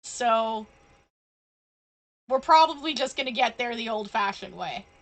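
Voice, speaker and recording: A woman's voice: fairly high pitch, lively intonation, a little slow, poor audio. A woman giving casual live gameplay commentary as a streamer, playful and rambling. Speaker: game streamer. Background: faint game music.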